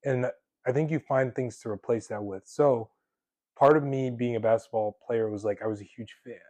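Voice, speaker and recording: A man's voice: mid-range voice, normal speed, dark tone, dry recording. A young man in his twenties hosting a podcast, chatting casually with lots of fillers and easy laughs. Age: 20s. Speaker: podcast host. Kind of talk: casual conversation.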